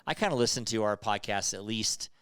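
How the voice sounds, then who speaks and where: A man's voice: fairly low pitch, a little fast, fairly bright tone, smooth, no room sound, clean studio sound. A man hosting a casual podcast chat, talking in a nasal voice and rambling with many restarts. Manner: rambling, self-interrupting, chatty. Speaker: podcast host.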